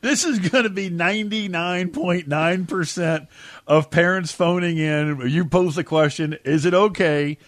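Middle-aged man, folksy sports talk banter, emphatic and slangy, slightly high pitch, dry sound. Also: a little slow, dry recording. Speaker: sports radio host.

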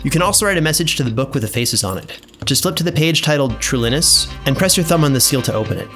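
A man's voice: mid-range voice, expressive, fast talker, a bit bright. A man playing a chatty, absent-minded fantasy archivist in an audio drama, musing and humming to himself. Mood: musing, businesslike. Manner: musing, hesitant hums, casual. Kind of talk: in-character audio drama narration. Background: music.

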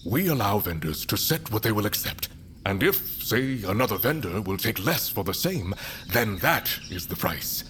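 An actor playing a stern, measured middle-aged patriarch who rules a fantasy mountain council, speaking formally and deliberately. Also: male voice, lowish voice, big pitch swings, fairly bright tone, a little hiss or hum. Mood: measured, reasoning. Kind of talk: fantasy audio drama acting.

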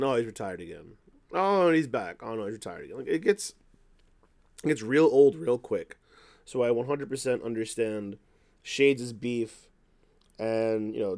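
Slightly hoarse man talking casually. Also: mid-range voice, a little mellow. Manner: conversational, opinionated, thinking aloud. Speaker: wrestling news podcast host. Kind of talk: casual unscripted commentary.

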